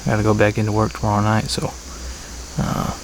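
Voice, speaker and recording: A man talking casually to camera as a bowhunting vlogger, in a laid-back, halting way, outdoors. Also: on the low side, flat delivery, poor audio. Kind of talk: casual vlog talk to camera. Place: outdoors in a tree saddle at a pasture. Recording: outdoor ambience with faint insect noise. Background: insects and crickets.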